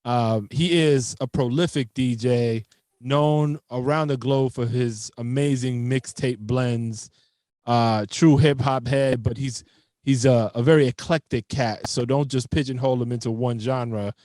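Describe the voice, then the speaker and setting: A man's voice: medium pitch, steady tone, measured pace, warm tone, no room sound. A man hosting a podcast, introducing a guest in a loose, enthusiastic style full of hip hop slang, speaking into a studio mic at home. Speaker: podcast host. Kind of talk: casual podcast chat. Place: home room with framed records. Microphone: studio mic on boom arm with headphones.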